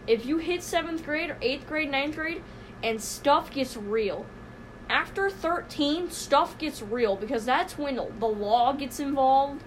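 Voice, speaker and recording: Excitable rambling teen girl. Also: high-pitched, slight background noise, poor audio. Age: teens. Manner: rambling, excitable, lots of fillers. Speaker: podcast host. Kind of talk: casual chat. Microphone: phone podcast app recording.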